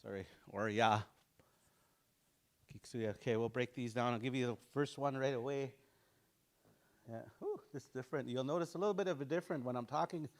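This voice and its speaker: A man teaching an online language class, drilling words over and over in a relaxed, informal way and chuckling often. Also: medium pitch, brisk, pauses often.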